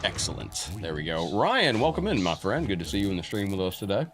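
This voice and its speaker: A man's voice: deep voice, very animated, fairly quick. A man hosting a live gaming stream, chatting and greeting viewers by name while he plays, his voice a little scratchy from a cold. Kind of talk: casual gameplay commentary, greeting chat. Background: game music.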